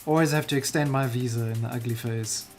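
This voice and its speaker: A man's voice: medium pitch, steady tone, fast talker, darkish tone, faint music behind. A South African man chatting casually while livestreaming a digital painting, muttering self-deprecating remarks to himself as he works.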